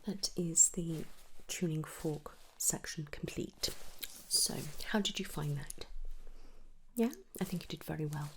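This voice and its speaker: A woman speaking in soft, breathy whispers as a gentle, reassuring audiologist in an ASMR medical roleplay. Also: sits low, a little slow, low-quality recording.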